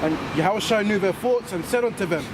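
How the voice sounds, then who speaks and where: A man with a London accent reading scripture aloud in a measured way outdoors. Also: high voice. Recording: outdoor traffic noise.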